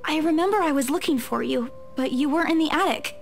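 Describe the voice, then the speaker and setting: A woman's voice: sits high, fast talker, creaky, slight background noise, voice pushed up. A woman doing a flustered young realtor. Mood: worried. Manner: hesitant, stammering.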